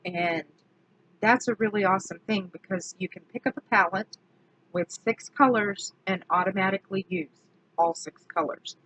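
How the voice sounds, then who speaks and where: A woman, slightly hoarse from allergies, chatting casually through a makeup product review and correcting herself as she goes. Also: mid-range voice, fairly bright tone, slight background noise, rough recording. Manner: chatty, self-correcting, slow and deliberate. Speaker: beauty vlogger.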